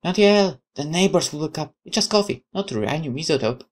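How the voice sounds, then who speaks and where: A woman voicing a playful, frank, soft-hearted young woman in a visual novel game. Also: sits low, lively intonation, a little fast, pitched above the performer's own voice. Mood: teasing.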